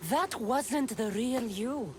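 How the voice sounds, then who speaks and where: A woman's voice: fairly high pitch, takes it slowly, a little mellow, slight background noise. A woman voicing a tough, level-headed warrior woman in a video game, frank and firm in manner. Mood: reassuring. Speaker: investigator and fighter. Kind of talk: video game cutscene acting.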